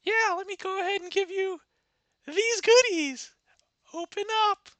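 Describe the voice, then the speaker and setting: A man voicing an innocent young girl in a high falsetto. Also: measured pace, a bit bright, no echo. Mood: naive.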